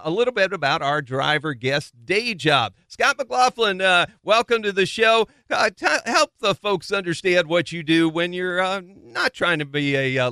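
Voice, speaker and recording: Man, radio show host, folksy, playful phrasing, crisp bright tone, dry studio sound. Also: high voice, dry recording. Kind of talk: upbeat motorsport radio interview and sponsor reads.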